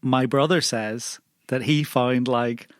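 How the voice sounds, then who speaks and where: A man's voice: medium pitch, fairly even intonation, takes it slowly, dry recording, studio quality. A man chatting casually and jokily as a cast member of a comedy show. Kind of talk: casual joking chat.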